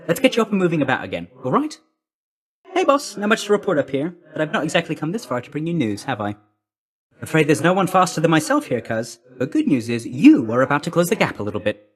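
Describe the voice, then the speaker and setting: An actor playing a brisk, cheeky young messenger god in a video game, with a breezy, slangy, chummy, clipped delivery. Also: male voice, fairly high pitch. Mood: urging.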